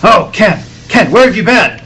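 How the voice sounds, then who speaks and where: A man playing a gruff, anxious, bossy newspaper editor in a 1940s radio serial, barking his lines at a live table read. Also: high voice, lively intonation, moderate pace, bright, forceful tone, a little hiss or hum, heavily acted, low-quality recording. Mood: brusque.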